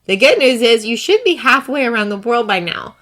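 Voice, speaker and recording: Sharp, scolding assistant, voiced by a woman. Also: on the high side, animated, brisk, pitched above the performer's own voice. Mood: exasperated.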